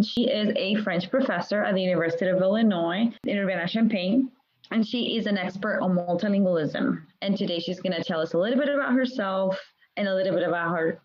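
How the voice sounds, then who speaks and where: A woman co-host of a podcast, introducing the guest in a friendly, clear announcing manner over a video call. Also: mid-range voice, a little mellow, highs cut off. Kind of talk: scripted show introduction.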